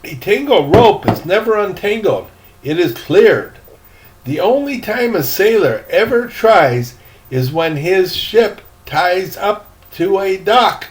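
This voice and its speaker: A man voicing a roly-poly seaman in a storybook, a patient and pedantic rope expert who lectures with deliberate emphasis. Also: sits high, animated, pitched above the performer's own voice. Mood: stern, instructive. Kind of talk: acted storybook dialogue.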